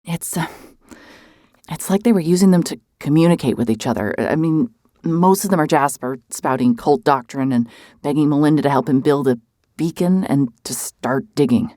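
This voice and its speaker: A woman's voice: sits low, normal speed, dark tone, no room sound, clean studio sound, natural voice. An actress playing a hard-hearted, guarded female private investigator, sardonic but shaken, in a mystery horror audio drama. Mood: uneasy.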